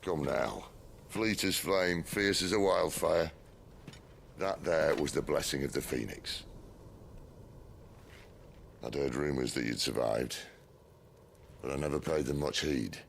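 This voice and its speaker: A roguish British outlaw leader in middle age, speaks in an extremely deep voice full of gravel. He is wry and self-assured, teasing without hurry, in video game cutscene style, with faint noise behind him. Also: slow pace, long pauses, exaggerated voice. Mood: sly, teasing.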